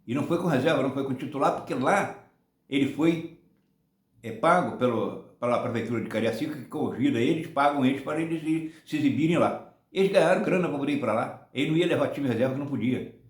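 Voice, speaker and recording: A man in his seventies from Brazil, rambling through casual football commentary on his own channel, often ending points with a quick "tá?". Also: medium pitch, reverberant. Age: 70s. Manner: rambling, many restarts and tag "tá?".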